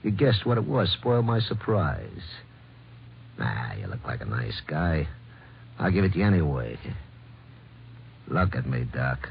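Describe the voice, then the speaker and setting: A man's voice: a bass voice, big pitch swings, measured pace, gravelly, pauses often, heavily acted. An actor playing a menacing gunman in a 1950s radio drama, taunting his victim with mock friendliness. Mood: cold mockery. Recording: muffled, hissy old radio broadcast.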